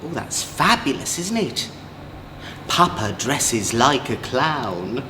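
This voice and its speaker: A man's voice: mid-range voice, sing-song, slow. A man reading children's stories as a drag queen, theatrical and playful, with campy asides to young listeners. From England. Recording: roomy echo, faint background noise.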